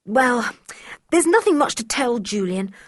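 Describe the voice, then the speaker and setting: A woman's voice: on the high side, big pitch swings, a little slow, no room sound, studio quality, voice pushed up. A woman voicing a proud, fiery tomboy girl in a storybook reading, talking in an indignant, emphatic way. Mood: defiant.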